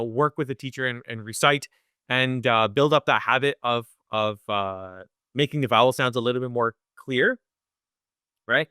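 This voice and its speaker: Man, bright crisp voice, dry close mic, casual webinar teacher, keeps asking "right?". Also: fairly high pitch, no room sound. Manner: conversational, checks in with "right?" often; demonstrates recitation sounds slowly. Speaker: Quran recitation teacher. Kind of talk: live webinar lesson.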